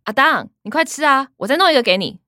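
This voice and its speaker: A woman's voice: sits high, animated, fast, sharp tone, no room sound, studio quality. A woman playing a blunt, impatient younger woman of a family, with a Taiwanese accent, in a radio drama. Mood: urging.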